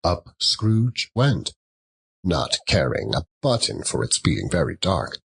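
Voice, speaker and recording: A man narrating a classic story as an audiobook, theatrical, with dramatic and deliberate phrasing. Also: low voice, big pitch swings, vocal fry, a bit bright, breathy edge.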